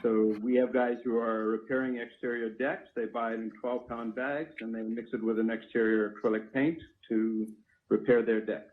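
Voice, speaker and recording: A man's voice: mid-range voice, level tone, normal speed. A man informally explaining his products on a live call, in a technical, step-by-step way, as their inventor. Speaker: product inventor and company founder. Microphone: phone call. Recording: narrow tinny phone line.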